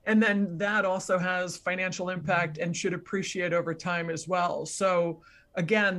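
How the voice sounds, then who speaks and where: A woman's voice: lowish voice, a bit bright. A woman speaking as a guest expert, explaining methodically in conversation with examples and contrasts.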